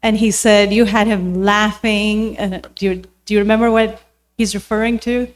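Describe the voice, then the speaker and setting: A woman with a medium-pitched voice addresses a guest speaker from the audience. She speaks politely and respectfully as she puts her request. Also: steady tone, normal speed. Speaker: audience member thanking and questioning the speaker.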